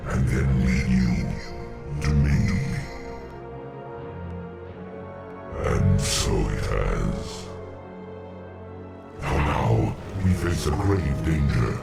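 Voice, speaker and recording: An actor playing an ancient, commanding undead lord in a fantasy game, speaking solemnly in a gravelly, cavernous voice. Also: male voice, extremely low pitch, exaggerated voice. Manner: slow, solemn, portentous. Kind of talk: fantasy game cutscene acting. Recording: echoing voice under loud music.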